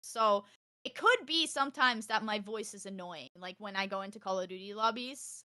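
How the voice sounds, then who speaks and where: A young woman with a high, loud voice chatting casually as a podcast guest about her gaming life, outspoken and emphatic, swearing freely. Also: normal speed. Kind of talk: casual chat, animated anecdotes.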